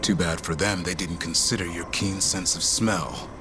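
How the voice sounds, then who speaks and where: A man's voice: low voice, fairly even intonation, normal speed, close to an everyday voice. A man playing a confident, proud warrior with dry humour in a fantasy video game. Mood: cocky, dry. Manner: self-assured, dryly teasing. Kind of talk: video game character acting. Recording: loud ambient noise behind the voice.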